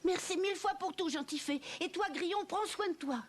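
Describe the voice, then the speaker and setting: A woman voicing a naive, excitable little puppet boy in a children's cartoon, earnest and full of exclamations. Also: very high-pitched, a little fast, a little hiss or hum. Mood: eager, earnest. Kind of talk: dubbed children's cartoon acting.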